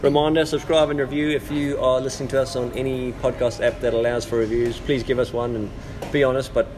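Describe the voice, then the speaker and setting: A man's voice: medium pitch, little pitch movement, quick pace, background noise. A man co-hosting a podcast, chatty and joking, laughing often as he talks. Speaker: podcast co-host. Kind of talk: casual podcast chat.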